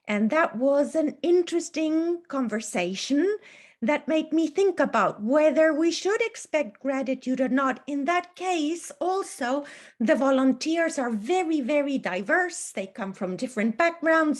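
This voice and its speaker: A woman offering a careful, deliberate personal reflection as a participant in a group discussion. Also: high-pitched. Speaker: book group participant, food pantry volunteer. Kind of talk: measured personal anecdote and reflection.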